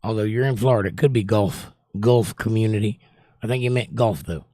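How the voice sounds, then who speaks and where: A slightly hoarse middle-aged man hosting a livestream, chatting casually and teasing his viewers as he reads their comments. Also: lowish voice, a little fast, mellow, dry recording, clean studio sound. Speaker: livestream host. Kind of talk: casual chat reading viewer comments aloud.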